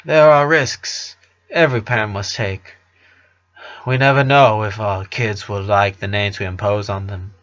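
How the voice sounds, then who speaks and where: A man's voice: on the low side, steady tone, measured pace, fairly bright tone, dull audio, pitched below the performer's own voice. A man reading the part of an earnest, sentimental middle-aged father in a story, explaining things in a heartfelt way. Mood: downhearted, tender.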